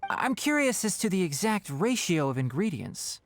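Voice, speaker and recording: A man playing a sharp-witted young scholar in a video game, precise, articulate and dryly analytical. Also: high voice, very animated, normal speed, slight background noise. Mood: thoughtful.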